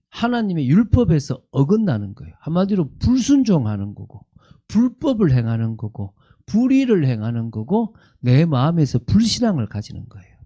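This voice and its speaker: Korean pastor guy, bible class, bit high voice, warm mellow tone, keeps asking and answering himself. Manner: repetitive, asks questions and answers them himself. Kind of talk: Bible study teaching.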